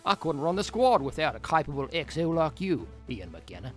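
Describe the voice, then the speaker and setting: A man plays an easygoing Australian team chief in a radio play. His voice is high for a man and lively in pitch, friendly and informal, over light music. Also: normal speed. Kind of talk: audio drama acting.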